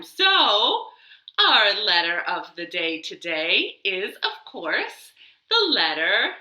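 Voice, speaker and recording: A woman's voice: mid-range voice, pushed and bright. A woman hosting a children's storytime from a living room, talking expressively and simply to young children and reading aloud. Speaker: library storytime host. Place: indoors, living room at home. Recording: roomy home living-room echo.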